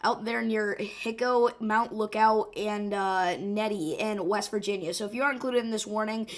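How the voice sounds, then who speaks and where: A woman hosting a live severe weather stream, her voice hoarse and rough, talking urgently and informally with many fillers and restarts. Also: medium pitch, moderate pace. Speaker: severe weather livestream host.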